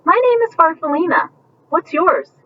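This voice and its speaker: A woman's voice: very high-pitched, wildly swooping pitch, moderate pace, pitched above the performer's own voice, exaggerated voice. A small, wistful female storybook butterfly, played by a woman reading aloud, sweet and loyal. The recording is slightly distant and muffled, with faint noise. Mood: friendly.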